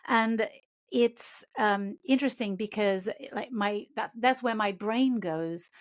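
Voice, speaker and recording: A woman whose first language is Portuguese, hosting a relaxed art podcast at a medium pitch. She thinks aloud in a reflective way, restarting her phrases as she works out what she means. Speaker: podcast host. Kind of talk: casual conversation about art.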